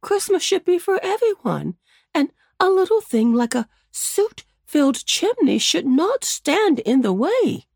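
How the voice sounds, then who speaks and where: A woman voicing a kindly old male rabbit gentleman in a storybook, gentle and unhurried. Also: high voice, no echo, studio quality, pitched above the performer's own voice, exaggerated voice.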